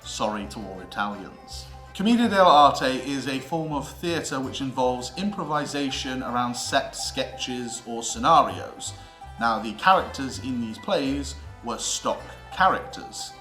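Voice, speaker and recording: A man's voice: medium pitch, animated, a little slow, bright, forceful tone. A British man presenting a scripted history lesson in a theatrical, mock-lecturing way, with emphatic delivery and comic asides. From United Kingdom. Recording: roomy echo with music underneath. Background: background music with guitar.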